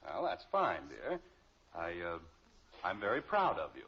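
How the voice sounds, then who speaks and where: A man's voice: low voice, huge pitch swings, a little slow, husky, heavily acted. An actor playing an exasperated, easily flustered middle-aged American father in a 1950s radio sitcom, sputtering and sarcastic. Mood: sheepish. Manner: sputtering, sarcastic, hesitant when cornered. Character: exasperated suburban father, easily flustered, fond of his children. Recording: muffled, lightly noisy old radio recording.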